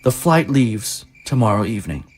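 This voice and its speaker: A man's voice: fairly low pitch, sing-song. Man playing a formal, mysterious benefactor. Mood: composed, cryptic. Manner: formal, measured, cryptic. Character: mysterious, composed anonymous benefactor on the phone. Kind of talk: audio drama acting.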